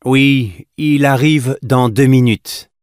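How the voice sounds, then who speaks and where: Male exam reader, mid-pitched, slow scripted delivery of short dialogue lines. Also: fairly even intonation, dry recording, clean studio sound. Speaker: voice reader for listening test.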